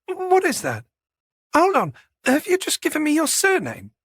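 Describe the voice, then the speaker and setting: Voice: mid-range voice, very animated, normal speed, pushed and bright, slightly rough, no echo, studio quality, pitched above the performer's own voice, full character voice. An audiobook narrator voicing a genteel, chatty woman with an old-fashioned, playful way of speaking. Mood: curious, teasing. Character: genteel, chatty woman, a box office manager.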